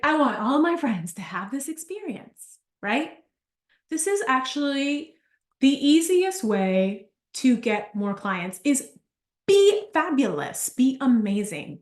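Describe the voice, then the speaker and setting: A lively woman leads a business workshop, spinning chatty stories and throwing in asides. Her voice sits a little high, and the room gives it a noticeable echo. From Argentina. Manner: animated, chatty, storytelling with asides. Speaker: business coach. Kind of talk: live workshop talk.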